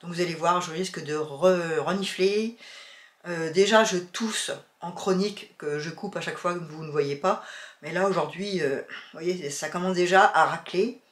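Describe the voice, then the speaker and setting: A woman's voice: on the low side, normal speed, reverberant. A woman with a cold chatting casually to her viewers about sewing and knitting, hesitant and full of fillers. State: has a cold, sniffling. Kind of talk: casual chat to camera.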